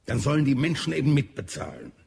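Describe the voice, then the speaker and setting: An actor playing an irritable, weary Martian health adviser in an audio drama, speaking gravely. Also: male voice, medium pitch, big pitch swings, darkish tone, heavily acted. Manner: grave, edgy, deliberate. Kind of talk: German audio drama acting.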